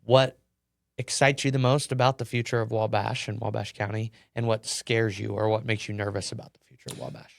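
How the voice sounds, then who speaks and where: Male podcast host, low gravelly monotone, methodical interviewer. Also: fairly quick, dry recording, clean studio sound. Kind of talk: interview questions.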